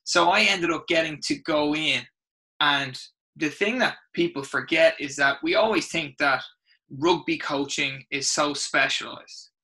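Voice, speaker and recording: Bright, lively Irish rugby coach. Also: male voice, on the high side, unhurried. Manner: animated, expressive storyteller with many restarts. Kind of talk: interview storytelling.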